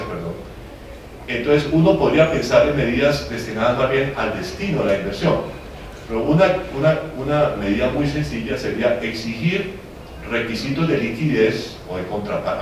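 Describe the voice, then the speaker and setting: Male forum panelist, halting delivery full of fillers, heard from a distance in a very echoey hall. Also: mid-range voice, slight background noise. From Venezuela. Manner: hesitant, many fillers and restarts. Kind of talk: public policy talk. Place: conference hall.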